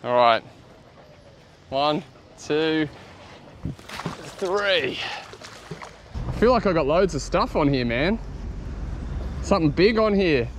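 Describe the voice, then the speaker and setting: A man in his thirties with an Australian accent, chatting casually and excitably as he hosts an outdoor vlog. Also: a little high, slow pace, pauses often. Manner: excitable, exclaiming. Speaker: host of a magnet fishing vlog. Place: outdoors beside a canal. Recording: outdoor noise, traffic and ambience.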